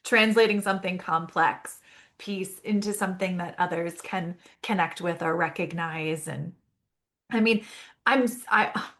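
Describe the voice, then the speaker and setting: A woman's voice: on the low side, a little slow. A woman hosting a podcast interview, affirming her guest and asking questions with enthusiasm. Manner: warmly affirming, enthusiastic listener. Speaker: podcast host. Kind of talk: casual interview chat.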